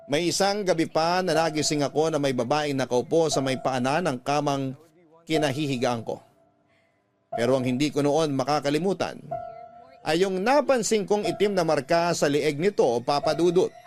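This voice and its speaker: A Filipino man narrates a story in the first person with a dramatic, scripted delivery. His voice sits on the high side, and music plays softly beneath it. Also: normal speed. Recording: music bed behind narration.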